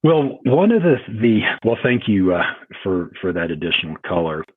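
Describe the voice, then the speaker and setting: A man hosting a podcast interview, asking questions in a halting way with many restarts. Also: low voice, very animated, husky, dry recording. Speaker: podcast host. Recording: tinny phone-like line.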